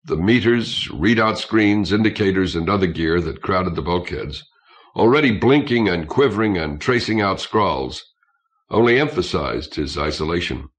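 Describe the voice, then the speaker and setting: A man reading science fiction as a scripted audiobook narration, in a measured, literary way. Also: sits low, animated.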